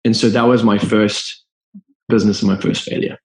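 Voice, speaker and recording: A man's voice: lowish voice, little pitch movement, moderate pace, darkish tone. A man with a Zimbabwean accent, a guest entrepreneur telling his story in a podcast interview in an earnest, open way. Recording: roomy echo.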